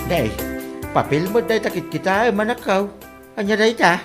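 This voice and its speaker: A man's voice: sits high, expressive, moderate pace, over music. A man with an Ilocano accent acting as an exasperated middle-aged father in a radio comedy drama, scolding and disbelieving. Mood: baffled, exasperated.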